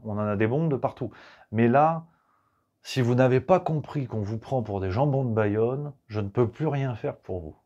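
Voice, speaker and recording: A man's voice: mid-range voice, dark tone, lo-fi sound. A man in the middle of the male range, riffing with sarcasm and crude jokes. His talk is loose and chatty, full of asides to the listener. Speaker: host. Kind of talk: satirical political commentary.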